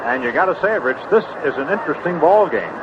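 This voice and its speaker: A man's voice: a little high, expressive, fast, lo-fi sound, noisy background. A man calling baseball play-by-play live from a stadium booth on a vintage radio broadcast. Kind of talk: live radio sports commentary.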